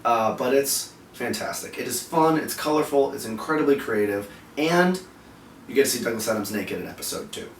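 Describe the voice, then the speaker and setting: A man presents an explainer show in a casual, partly scripted style. His voice sits at a middling pitch. The room echoes around him, and he sounds a little away from the mic with faint noise behind. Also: lively intonation, normal speed, creaky. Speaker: co-host of a geek culture explainer show. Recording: roomy, distant mic, faint background noise.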